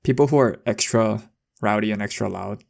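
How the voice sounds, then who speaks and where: A man's voice: on the low side, a little fast, gravelly. A young man co-hosting a podcast, telling anecdotes in casual, slangy, rambling talk. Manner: informal, rambling. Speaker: podcast co-host.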